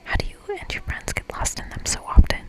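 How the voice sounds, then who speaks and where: A woman doing whispered ASMR, reading a video game's narration aloud with informal asides. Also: fairly quick. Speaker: ASMR creator.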